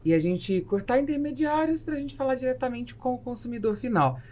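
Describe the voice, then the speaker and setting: A woman's voice: a little high, animated, fairly quick, mellow, a little hiss or hum. A woman hosting a podcast, asking long probing questions. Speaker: host. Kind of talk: casual chat.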